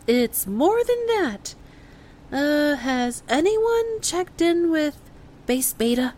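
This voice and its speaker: A worried moon base crew member in an audio drama. She has a very high, swooping voice and speaks cautiously, with halting concern. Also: very animated, darkish tone, full character voice. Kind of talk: scripted audio drama acting.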